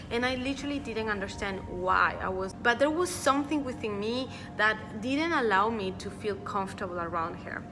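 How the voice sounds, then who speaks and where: A woman giving an earnest motivational talk as a personal development coach. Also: a little high. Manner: earnest, repeats words for emphasis. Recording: reverberant room with faint music.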